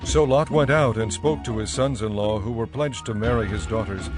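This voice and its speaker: A man narrating scripture from a script in a measured storytelling manner. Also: on the low side. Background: faint background music.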